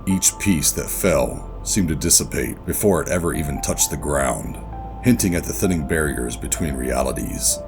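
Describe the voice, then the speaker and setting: An actor playing a gruff, guarded covert operative who narrates a sci-fi horror audio drama in a brooding, deliberate way. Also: male voice, a bass voice. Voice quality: gravelly. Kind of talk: first-person scripted audio drama narration and dialogue.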